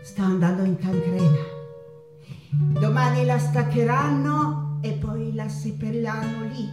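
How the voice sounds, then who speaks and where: Actress for defiant, bitter-exuberant woman painter, stage monologue, highish dramatic voice in an echoey space. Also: close to an everyday voice. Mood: bitter, grave. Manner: dramatic, emphatic, deliberate delivery. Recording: echoey stage sound with loud guitar. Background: acoustic guitar music.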